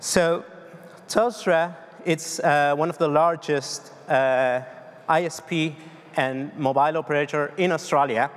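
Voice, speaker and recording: Spanish-accented man, 30s, conference speaker, slightly high voice, loose hesitant delivery, long hall reverb. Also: steady tone, a little slow. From Argentina. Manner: loose, hesitant delivery with many fillers and restarts. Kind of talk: tech conference presentation. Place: large dark conference hall on stage.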